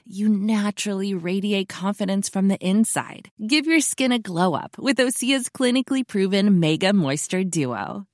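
A woman's voice: medium pitch, dry recording, clean studio sound. A woman reading a scripted advertisement as a voice-over. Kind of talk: scripted ad read.

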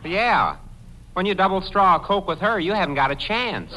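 A man's voice: high voice, big pitch swings, normal speed, bright, forceful tone, heavily acted. A man playing a brash, lovestruck teenage nephew in old radio comedy, boyish and earnest. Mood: eager, wheedling. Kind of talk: 1940s radio comedy acting. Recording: slightly noisy vintage radio broadcast.

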